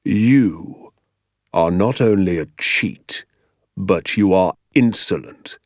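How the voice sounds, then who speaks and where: A man voicing a bullying, contemptuous military schoolmaster with a menacing, drawn-out, emphatic delivery in an audiobook story. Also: low voice, no echo, studio quality. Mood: quietly menacing. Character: furious bullying schoolmaster, old military captain, barking contemptuously.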